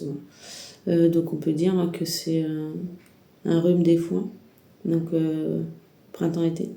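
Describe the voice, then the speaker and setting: A woman's voice: on the low side, vocal fry, mellow, roomy sound. A woman with a heavy head cold and sore throat gives a rambling, hesitant spiritual guidance talk, speaking straight to the viewer. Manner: hesitant, rambling, many restarts and fillers. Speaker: tarot reader.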